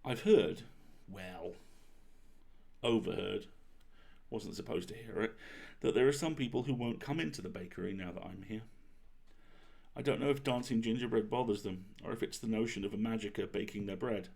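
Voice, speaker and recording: A man reading as a young girl baker and wizard, chatty and self-deprecating, in an expressive confiding storybook manner. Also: on the low side, moderate pace, gravelly, pauses often, breathy edge. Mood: musing, self-deprecating.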